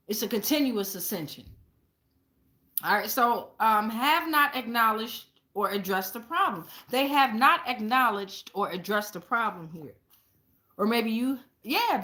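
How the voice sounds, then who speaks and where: A woman's voice: fairly high pitch, bright, forceful tone. An American woman giving a casual, rambling tarot reading to viewers, blunt and slangy, with heavy cursing. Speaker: tarot card reader hosting her show. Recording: echoey room.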